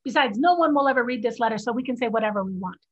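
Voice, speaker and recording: A woman's voice: a little high, expressive, very fast, breathy edge. A woman playing a cheeky, blunt young Chinese sister who works as a scribe, in a play reading over a muffled video call. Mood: teasing. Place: home room on video call.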